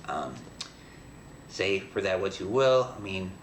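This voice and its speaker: Low-ish voiced guy host, rambly n halting, roomy echo, faint noise. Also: lively intonation. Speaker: host of an audio drama show. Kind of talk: casual unscripted commentary.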